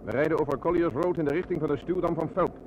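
Male actor as police sergeant, radio play, fast, firm, higher voice, heavy outdoor noise. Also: lightly acted. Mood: brisk, businesslike. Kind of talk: Dutch radio play acting. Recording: loud vehicle and water noise.

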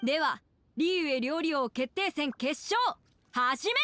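A woman's voice: high voice, animated, measured pace, a bit bright. A woman voicing a confident young female contest emcee, announcing formally in a Japanese anime game. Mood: confident, ceremonious.

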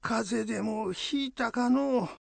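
A man's voice: very high voice, unhurried, gravelly, dry recording, exaggerated voice. A man playing an old Buddhist head priest in a radio drama, fussy but fond, with grumbling, old-fashioned elderly speech. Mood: weary.

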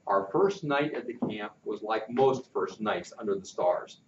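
An older man reading a chapter book aloud, expressive and lively, with friendly asides to his listeners. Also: fairly low pitch, a little slow, noisy background. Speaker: storyteller. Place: indoor classroom-like room with posters. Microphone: far camera. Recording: echoey room, distant dull mic.

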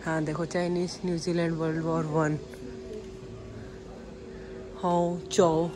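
A woman's voice: deep voice, flat delivery, takes it slowly, over music. A young Indian woman filming herself on a phone at arm's length, chatting to viewers as she walks through large museum halls. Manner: chatty, addresses viewers directly. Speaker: travel vlogger. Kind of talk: casual walking tour vlog. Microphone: handheld phone at arm's length. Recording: noisy phone recording in a busy museum.

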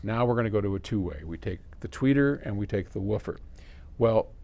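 Older male, 70s, American. Lowish, fry-laden voice; conversational explainer with informal asides. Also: darkish tone. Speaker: audio company presenter answering viewer questions. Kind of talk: casual explainer to camera. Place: small indoor electronics workshop.

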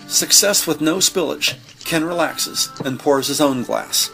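A man's voice: a little high, measured pace, vocal fry, a bit bright, low-quality recording. A man narrating a scripted audio drama in descriptive prose. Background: background music.

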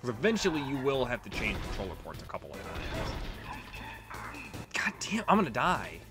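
A man's voice: mid-range voice, wildly swooping pitch, normal speed. A man giving casual, swear-prone gameplay commentary as the host of a let's play. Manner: profane, exasperated when struggling. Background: video game music.

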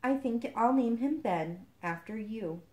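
A woman voicing an excitable, motherly duck who dotes effusively, in a storybook reading. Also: fairly high pitch, measured pace, reverberant, poor audio. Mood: grateful.

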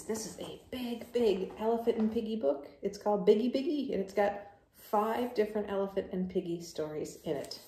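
A woman's voice: medium pitch, noisy background. A woman reading a picture book to children, adding chatty asides and questions in an echoey room indoors. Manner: addresses young listeners with questions. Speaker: teacher reading aloud to children.

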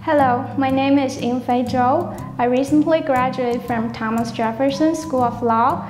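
A woman's voice: high-pitched, steady tone, a little slow. A woman in her thirties from China introducing herself on camera as a lawyer. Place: indoor office. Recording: echoey reverberant room, faint music.

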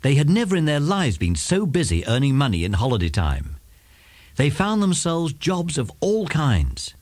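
A man narrating a scripted children's story in an expressive storytelling manner. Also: fairly high pitch, normal speed, no echo.

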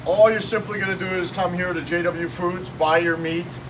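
A man in his fifties, a shop owner giving an energetic, salesy cooking demo in a small shop office, filmed on a phone. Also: sits high, moderate pace. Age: 50s. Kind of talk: casual promotional demo. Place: small shop office with kitchen counter, indoors. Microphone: phone camera held by someone nearby. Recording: distant phone audio with room noise.

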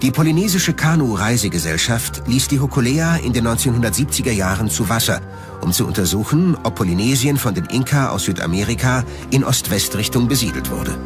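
A man's voice: mid-range voice, sing-song, a little fast, over music. A man reading scripted narration as the narrator of a documentary.

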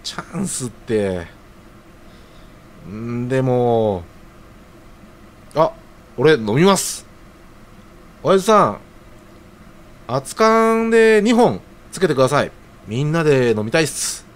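An actor playing an earnest, flustered young office junior in love in an audio drama, eager and hesitant in casual polite speech. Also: male voice, mid-range voice, sing-song, slow, pauses often. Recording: audible background noise.

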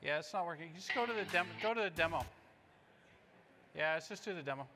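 A man's voice: on the high side, expressive, fast talker, background noise. A man in his fifties giving an informal live product demo on stage, talking to the audience in short phrases. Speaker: presenter pitching a product demo. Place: stage in a large indoor conference hall.

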